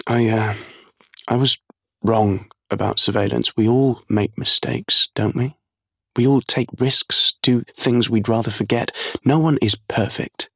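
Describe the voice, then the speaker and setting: An actor playing a troubled, resigned young man, speaking haltingly in an audio play monologue. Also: mid-range voice, steady tone, gravelly, fairly bright tone, slightly rough, dry recording, studio quality, heavily acted. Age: young adult. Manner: hesitant, halting.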